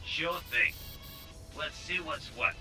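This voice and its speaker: An obliging city cabbie in an audio drama. His voice is on the high side and casual, with music clearly behind him. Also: slow pace, bright, forceful tone, heavily acted. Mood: matter-of-fact. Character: casual, obliging male city cab driver.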